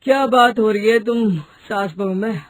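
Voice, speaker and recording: A woman's voice: mid-range voice, measured pace, lightly acted. A woman voicing a stressed young husband who complains in a tense way. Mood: upset. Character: adult son, stressed husband who quit his job. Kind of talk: storybook voice acting.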